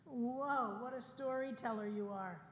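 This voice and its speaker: A woman in her sixties, a rabbi, giving an earnest sermon to her congregation and slowing down to stress key phrases. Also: fairly high pitch, muffled recording, slight background noise. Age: 60s. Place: synagogue sanctuary, livestreamed service. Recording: long hall reverb.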